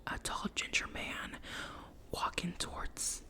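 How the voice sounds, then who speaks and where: A young woman whispering a rambling personal story, full of "like" and self-corrections, in the style of an ASMR storytime. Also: slow. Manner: soft whisper throughout. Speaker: vlogger.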